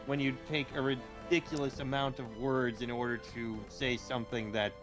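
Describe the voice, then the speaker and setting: A man's voice: mid-range voice, steady tone, normal speed, music in the background. A man bantering casually on a live stream in a wry, explanatory way.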